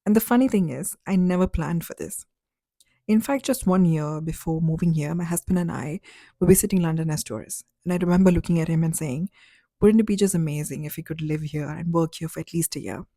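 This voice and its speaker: A woman's voice: sits low, brisk, dark tone, no room sound. Reflective woman in her thirties from Dubai. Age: 30s. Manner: candid, reflective, conversational with fillers like "like" and "you know". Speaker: vlogger. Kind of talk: personal story vlog.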